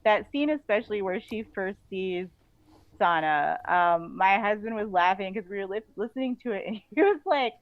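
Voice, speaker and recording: A woman's voice: mid-range voice, no echo. Chatty woman, slightly dull recording. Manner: informal, halting phrasing with frequent "like". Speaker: guest author being interviewed. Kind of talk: casual, chatty interview talk.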